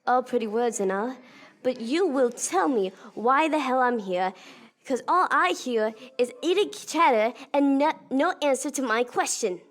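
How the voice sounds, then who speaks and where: An insolent, blunt female field agent , acted by a woman in a sci-fi audio drama. The voice sits a little high. The delivery is impatient and sarcastic, openly defiant of authority. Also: lively intonation, moderate pace. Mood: irritated.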